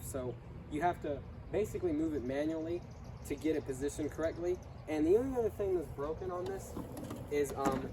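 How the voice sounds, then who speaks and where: A man's voice: a little high, noisy background. A young man with a congested voice from illness or allergies, casually reviewing his lawn mower in a hesitant, rambling way full of fillers and restarts. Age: young adult.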